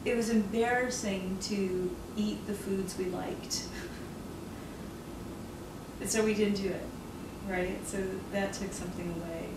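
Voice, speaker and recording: A woman's voice: mid-range voice, fairly even intonation, measured pace. A woman author being interviewed about her novel, reflective and earnest, laughing often. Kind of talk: casual interview conversation. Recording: roomy and noisy, mic far off.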